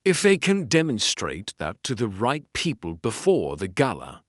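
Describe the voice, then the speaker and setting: A man voicing a cold, calculating crime boss in his thirties for an audiobook, precise and deliberate. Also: lowish voice, huge pitch swings, dry recording, studio quality, heavily acted. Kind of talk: audiobook character dialogue.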